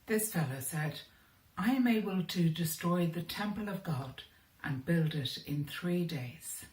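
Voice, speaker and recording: A woman reading the part of an accusing false witness in a scripture reading, formal and deliberate. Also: lowish voice, lively intonation, a little mellow. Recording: echoey, somewhat far from the mic.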